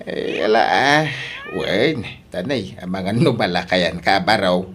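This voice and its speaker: A man's voice: sits low, slow pace, a bit bright, slight background noise. A man playing a blunt, argumentative older uncle in an Ilocano audio drama, with a Filipino accent. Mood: disapproving. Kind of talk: radio drama acting.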